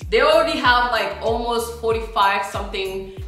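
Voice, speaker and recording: A young woman from South Sudan vlogging casually to camera, chatty and animated, swinging between loud emphasis and soft asides. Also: on the high side, normal speed. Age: young adult. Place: small indoor room with a plain backdrop. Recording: very echoey room with music playing behind.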